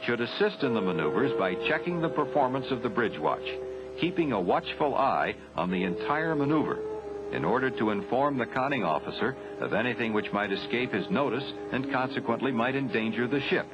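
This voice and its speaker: An American man narrating a 1970s military training film from a script, formal, grave and measured. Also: mid-range voice, very animated, over loud music. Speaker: documentary narrator. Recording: dull 1970s film soundtrack, faint noise.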